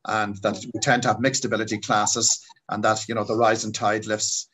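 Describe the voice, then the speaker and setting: A man's voice: on the low side, level tone, a bit bright, husky. A man, a school principal chairing a panel on a webinar. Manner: fast, hands the floor to speakers. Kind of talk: moderating a webinar.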